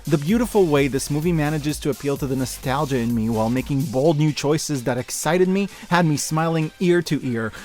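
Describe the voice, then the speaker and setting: A man's voice: on the high side, brisk, few pauses, darkish tone. A man in his thirties giving scripted, enthusiastic video-essay commentary as a host, in an emphatic, gushing, informal way. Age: 30s. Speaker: host of a year-in-review media video. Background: music.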